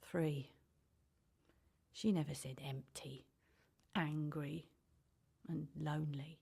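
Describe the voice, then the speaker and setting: A woman acting in a radio drama as a brooding, guilt-ridden older mother confessing bitterly, with a husky, gravelly voice. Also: low-pitched, steady tone, slow pace, dark tone, full character voice. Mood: regretful, lonely. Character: older woman, guilt-ridden mother who gave her child away.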